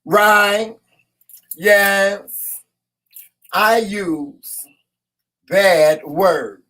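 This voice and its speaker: A hoarse man hosting a casual morning livestream, chatting and teasing his viewers in a folksy way. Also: high voice, very slow, sharp tone, low-quality recording. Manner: folksy, teasing, talks to the chat; repeats words and restarts often. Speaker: morning show host.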